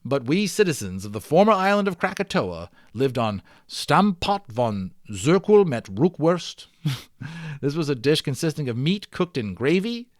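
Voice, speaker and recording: A man's voice: fairly high pitch, a little slow, no room sound. Man reading a storybook aloud, dry close sound. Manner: expressive, enthusiastic storyteller, emphasizes and draws out words. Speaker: amateur audiobook reader. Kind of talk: dramatic read-aloud of a children's novel with casual asides.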